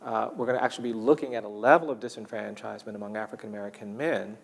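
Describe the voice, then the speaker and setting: A man giving measured, explanatory interview commentary, deliberate and emphatic in his delivery. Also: lowish voice, steady tone, gravelly, big-room sound. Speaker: documentary interviewee.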